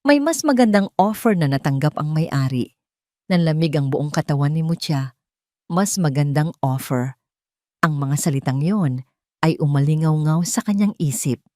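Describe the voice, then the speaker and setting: A woman's voice: low voice, expressive, normal speed, a little mellow, no room sound, clean studio sound. A woman narrating a scripted dramatic story as an audio drama narrator.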